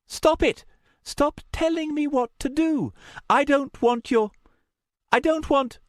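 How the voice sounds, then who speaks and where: A man's voice: sits high, animated, moderate pace, a little mellow, no echo, studio quality, voice pushed up. A man voicing a frightened, defiant young woman in an audiobook story, strained, emotional and insistent. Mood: angry.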